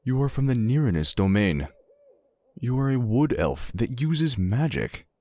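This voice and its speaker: An actor playing a contemplative druid traveler in a fantasy audio drama, speaking in a formal, measured, musing manner. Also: male voice, mid-range voice, big pitch swings, a little mellow, no room sound. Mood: curious, musing.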